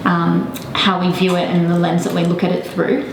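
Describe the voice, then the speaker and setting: A woman's voice: on the low side, level tone, normal speed, vocal fry. A woman hosting a podcast interview who asks questions and encourages her guest with short agreeing sounds. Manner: encouraging, many short backchannels. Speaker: podcast host. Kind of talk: casual interview. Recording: roomy with audible background noise.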